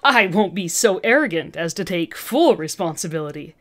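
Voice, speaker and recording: A woman's voice: fairly low pitch, animated, normal speed, a bit bright. A woman voicing an arrogant, agitated woman scientist villain with an intense, condescending manner in a fan fiction reading. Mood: manic boasting.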